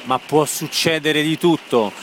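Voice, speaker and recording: A man's voice: on the high side, a little slow. A man declaiming a promotional spot phrase by phrase. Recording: noisy recording with clicks.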